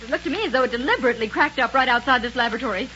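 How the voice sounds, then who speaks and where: A woman's voice: a little high, racing, natural voice. An actress playing a spirited, plucky young woman lieutenant in a 1930s radio space serial. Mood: keen. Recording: dull, hissy 1930s radio recording.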